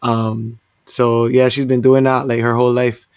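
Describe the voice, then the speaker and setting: A man from Barbados, a DJ guest in a casual interview, relaxed and quick to laugh. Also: medium pitch, smooth, dry recording, dull audio. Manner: relaxed, laughs easily, loose fillers.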